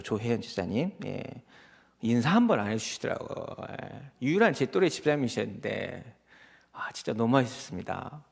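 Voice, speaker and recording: A male pastor from preaches in a fast, chatty way. His pitch swings widely as he tells personal stories and voices both sides of little exchanges, and his tone often drops into a creaky, gravelly edge. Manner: animated storytelling, acts out quoted exchanges. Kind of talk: conversational sermon with personal anecdotes.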